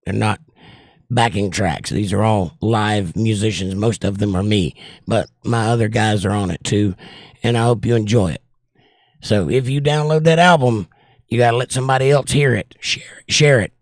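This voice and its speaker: A man's voice: fairly low pitch, normal speed, no echo, studio quality. Older man hosting a livestream chat, worn voice. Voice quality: voice worn from years of trouble. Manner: reads viewer comments aloud and answers them. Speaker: livestream host reading chat.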